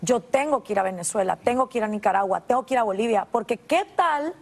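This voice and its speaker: High-voiced Guatemalan woman telling stories. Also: fast, gravelly. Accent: Guatemalan Spanish. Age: 30s. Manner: animated storytelling with voiced asides. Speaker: guest. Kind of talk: interview conversation.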